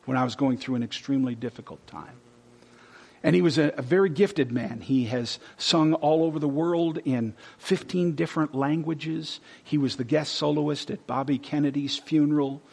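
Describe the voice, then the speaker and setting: A man preaching from the pulpit with a slightly raised, husky voice. He reads scripture with rising force, then sinks to near-whispers for tender moments. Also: on the high side, normal speed. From Texas. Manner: swings from loud scripture reading to hushed whispers. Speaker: pastor. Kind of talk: sermon.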